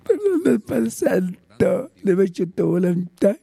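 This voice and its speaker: An older man with a Peruvian Spanish accent and a husky, gravelly voice, praying off the cuff in short, pleading, repeated phrases. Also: high-pitched, sing-song, slow, mellow, dry recording. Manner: pleading, repetitive short phrases with frequent invocations. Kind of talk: extemporaneous fervent prayer.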